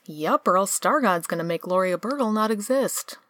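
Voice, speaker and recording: A woman's voice: medium pitch, expressive, moderate pace, fairly bright tone, no room sound, natural voice. A woman playing a weary, sardonic supernatural guide with a dry, offhand delivery in a comedic radio play.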